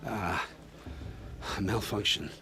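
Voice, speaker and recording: An actor playing an uneasy, evasive scavenger scout in a video game, speaking in a guarded, halting way. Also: male voice, on the low side, sharp tone, a little hiss or hum. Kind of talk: video game cutscene acting.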